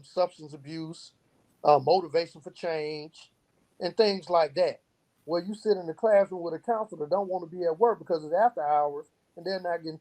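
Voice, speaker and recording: A man with a mellow, relaxed tone talks as an interview guest. He makes his points with earnest, emphatic conviction, often explaining through stories and examples. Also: a little high, rough recording. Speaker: guest, youth program founder. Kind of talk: earnest interview talk with storytelling.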